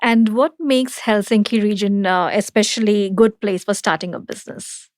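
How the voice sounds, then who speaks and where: A woman's voice: medium pitch, moderate pace, a little mellow, no room sound, studio quality. Woman host asking short questions. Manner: brief questions and short acknowledgements. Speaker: podcast host. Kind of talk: interview questions.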